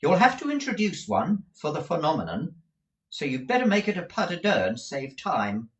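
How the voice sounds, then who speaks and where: A man's voice: on the high side, wildly swooping pitch, moderate pace, dull audio, voice pushed up. A man voicing a polite but harried young male dramatist. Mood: explaining. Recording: roomy echo.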